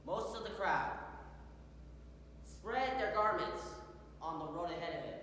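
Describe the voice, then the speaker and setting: Young female, dramatic scripture reciter, expressive pitch swings, theatrical dynamics, recorded distant in a reverberant hall. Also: a little slow. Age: young adult. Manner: theatrical, swings from hushed to shouted. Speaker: youth performer at a church festival. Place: large echoey indoor room. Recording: echoey hall, far from mic, hum.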